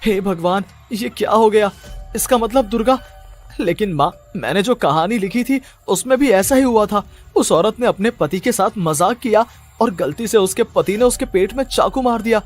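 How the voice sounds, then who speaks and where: A man's voice: high voice, moderate pace, faint music behind, pitched above the performer's own voice. A man voicing an anxious, pleading young husband in a dramatized horror cartoon story, agitated and prone to stammer. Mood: panicked, frightened. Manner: agitated, stammering when frightened.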